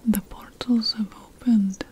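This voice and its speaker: A woman whispering in a breathy, gentle, conspiratorial way, playing a secretive ancient witch in an ASMR fantasy roleplay. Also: a little high, fairly even intonation, takes it slowly, a little hiss or hum. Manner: gentle, conspiratorial, addressing the listener as an assistant. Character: secretive ancient witch priestess researching magical potion plants.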